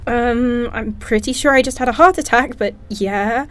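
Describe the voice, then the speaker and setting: An actress playing a shaken, self-deprecating scientist in an audio drama, speaking haltingly with dry, rambling humor. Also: female voice, fairly high pitch, fairly bright tone, natural voice. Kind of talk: audio drama acting, diary monologue.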